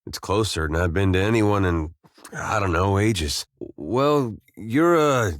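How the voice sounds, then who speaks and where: A man voicing a gentle, world-weary farmer turned adventurer in a video game, plain-spoken and loyal, with a folksy, understated, dryly humorous manner. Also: on the low side, animated, slow, no echo, clean studio sound. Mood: awkward, fond. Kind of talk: video game companion dialogue.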